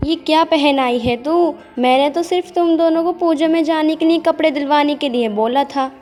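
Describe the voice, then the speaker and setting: A woman's voice: high voice, moderate pace, a little mellow, lightly acted. A woman voicing an exasperated, scolding older mother-in-law in a Hindi cartoon story, with music behind her.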